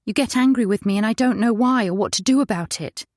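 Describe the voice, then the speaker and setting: An anxious, tearful young wife, voiced by a woman narrator, her voice lifted higher than the narration. She speaks timidly and shakily, with a pleading edge, and the recording is dry and close. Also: fast talker, no room sound, natural voice. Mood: nervous. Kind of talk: audiobook character voice.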